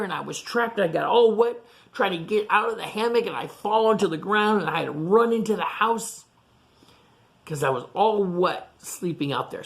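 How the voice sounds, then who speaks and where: A woman reading a picture book aloud to children, chatting to them in animated asides and questions. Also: fairly low pitch. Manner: animated asides to young listeners, asking questions. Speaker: storytime reader.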